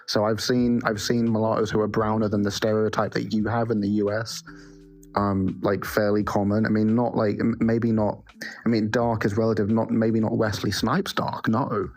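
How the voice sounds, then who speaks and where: A man in a casual live discussion, pressing others with pointed questions and many halting restarts. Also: on the low side, little pitch movement, darkish tone. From half West African parentage. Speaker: live stream participant. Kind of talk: casual debate.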